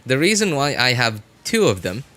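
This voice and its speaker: A man chatting casually as he comments on gameplay on a live stream, rambling and often correcting himself in a halting way. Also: mid-range voice, big pitch swings, pushed and bright. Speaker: game livestreamer.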